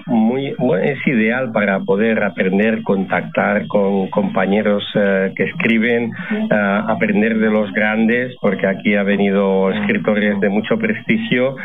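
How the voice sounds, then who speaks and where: A retired man in his sixties whose first language is Catalan, a novelist guest telling his stories in a measured, thoughtful way. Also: lowish voice, steady tone. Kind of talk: radio interview. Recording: phone-like radio broadcast with crowd behind. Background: crowd chatter.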